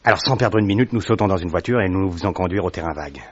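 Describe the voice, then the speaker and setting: A man's voice: low voice, racing. An actor playing a distraught young diplomat who recounts his ordeal earnestly. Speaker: narrator. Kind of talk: radio drama narration. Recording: dry, dull lo-fi sound.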